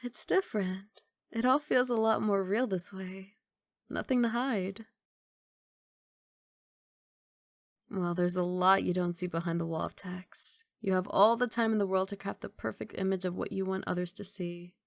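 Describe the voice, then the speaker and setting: A woman's voice: medium pitch, steady tone, normal speed, gravelly, dry recording, clean studio sound, natural voice. A woman playing a confident, doting young mafia boss girlfriend in an intimate, teasing ASMR romantic roleplay. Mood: intimate, sincere. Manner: playful teasing, intimate one-sided conversation.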